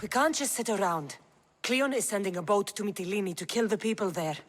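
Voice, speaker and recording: A woman's voice: mid-range voice, fairly quick, natural voice. Game casting: a woman playing a bold, direct warrior-mercenary, resolute in every line.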